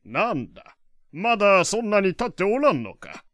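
An actor playing an opinionated, kindly old gentleman in an audio drama, lecturing in old-fashioned elderly phrasing. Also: high-pitched, big pitch swings, normal speed, no room sound. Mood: pleased, explaining. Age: older. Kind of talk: Japanese audio drama acting.

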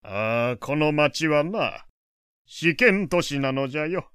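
An actor playing an opinionated, kindly old gentleman in an audio drama, lecturing in old-fashioned elderly phrasing. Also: on the high side, expressive, takes it slowly, clear, resonant tone, dry recording, studio quality. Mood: pleased, explaining. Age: older. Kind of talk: Japanese audio drama acting.

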